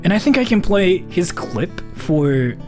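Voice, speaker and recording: Male streamer with very animated, swinging pitch. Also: measured pace, soft background music. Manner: rambling, repetitive, hesitant. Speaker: livestreamer reading donations. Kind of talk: casual livestream chat.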